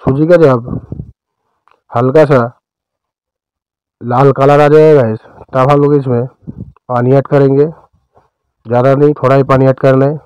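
A man with an Indian accent narrating a recipe step by step as a cooking host, in a smooth, clear voice. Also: medium pitch, level tone, a little slow, pauses often, darkish tone, no echo, highs cut off.

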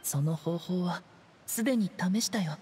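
A woman playing a shy, gentle, downcast teenage boy in an anime game, soft-spoken and hesitant. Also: fairly low pitch, a little slow, a little hiss or hum, close to an everyday voice. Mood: subdued. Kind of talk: Japanese anime game voice acting.

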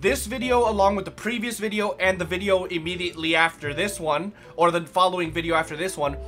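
Hoarse, raspy, chatty gaming host, man. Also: high voice, steady tone, fairly quick, fairly bright tone, soft background music. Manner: chatty, rambling, lots of fillers. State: hoarse from yelling. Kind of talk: casual let's play commentary.

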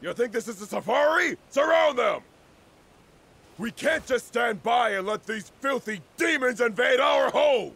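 Zealous militia boss, stern, gravelly, pitched high, barks orders, game cutscene. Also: male voice, animated, measured pace, fairly bright tone, shouting, full character voice. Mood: hostile. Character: hostile, zealous militia leader, grown man. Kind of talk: video game cutscene acting.

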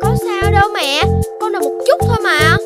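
Here is an excitable little puppy boy from a children's cartoon. The voice is extremely high and lively, full of stubborn bravado and dramatic exclamations. Prominent music plays underneath. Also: normal speed. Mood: casual.

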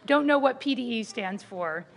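A woman's voice: on the high side, expressive, normal speed, gravelly. A woman moderating a panel, introducing the speakers with chatty self-deprecating asides.

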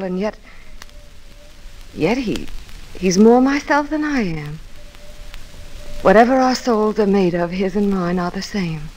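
A woman's voice: mid-range voice, natural voice. An actress playing a proud, passionate, torn young woman of the moors in a theatrical 1940s radio drama style. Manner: theatrical, impassioned. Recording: noisy old radio recording.

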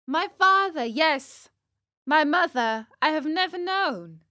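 Woman acting a high-pitched, earnest puppet boy. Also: animated, slow pace, sharp tone, no echo, clean studio sound, full character voice. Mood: meek. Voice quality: high, piercing. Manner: plaintive, earnest, stretched-out words. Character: small wooden puppet boy, pleading, brave, earnest. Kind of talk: children's storybook reading.

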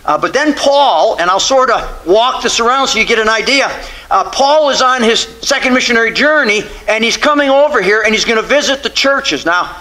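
A man's voice: extremely high pitch, animated, moderate pace, sharp tone, rough recording. An American man in his sixties preaching an expository sermon as a pastor, conversational and emphatic, often restating his phrases. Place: church sanctuary, at a pulpit.

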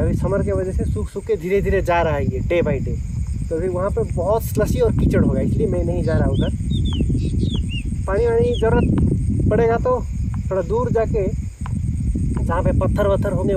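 A man in his thirties talking casually to viewers while camping alone by a lake at night, explaining what he is doing as he works. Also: sits high. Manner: chatty, explains as he works, addresses viewers as friends. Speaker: solo camping vlogger. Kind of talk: casual vlog narration to viewers. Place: outdoors by a lakeshore at night, thunderstorm. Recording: outdoor camera audio with wind and insect noise.

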